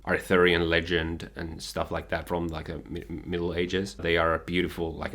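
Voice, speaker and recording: A man's voice: sits low, a bit bright. A man, talking earnestly and eagerly about books he loves. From Finland. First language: Finnish. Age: 20s. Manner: earnest, enthusiastic, many "like" fillers. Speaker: guest, partner of the host. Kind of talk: casual chat.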